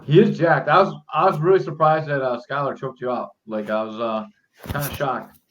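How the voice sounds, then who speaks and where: A man in his forties hosting a podcast, bantering loudly and laughing, calling people "dog". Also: mid-range voice, a little fast. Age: 40s. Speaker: podcast host. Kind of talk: casual chat. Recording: dull lo-fi sound with faint noise.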